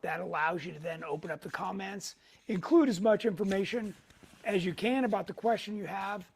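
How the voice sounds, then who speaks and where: A middle-aged man hosting a weekly live tech show in a casual way, hoarse, clearing his throat often and pausing on fillers. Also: sits high.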